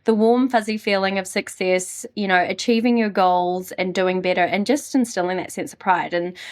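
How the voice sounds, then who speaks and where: A woman's voice: medium pitch, normal speed. New zealand lady chatting in an interview, upbeat, lots of fillers. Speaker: guest, marketing business founder. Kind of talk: casual interview conversation.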